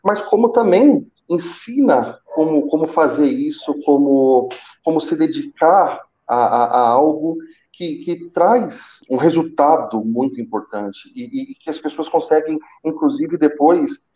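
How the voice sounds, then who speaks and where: A man's voice: a little high, lively intonation, unhurried, mellow. A man in his thirties, a senior call center manager, telling his career story on a live video call through a headset, earnest and often restarting with repeated words. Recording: muffled roomy video call audio.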